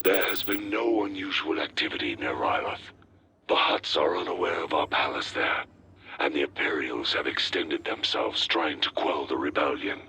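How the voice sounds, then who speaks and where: An actor playing a cool, calculating crime lord's lieutenant in a video game, deep and gravelly, reporting formally and in measured phrases. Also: male voice, expressive, sharp tone, slight background noise, full character voice. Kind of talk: dramatic video game acting.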